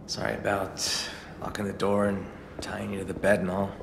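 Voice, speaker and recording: Deep-voiced young survivor leader in an echoey hall. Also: male voice, completely flat delivery, normal speed, creaky, noisy background. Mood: easygoing, apologetic. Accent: American. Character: wary but calm teenage male leader of survivors. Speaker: video game character. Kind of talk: video game drama acting. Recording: echoey hall reverb, music underneath. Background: music.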